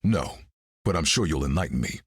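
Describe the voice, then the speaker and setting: An actor playing a gruff, wry half-vampire swordsman in a video game, with a gravelly, terse delivery. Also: expressive, fairly quick, no room sound, studio quality, heavily acted. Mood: dry, tough. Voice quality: gravelly, very deep.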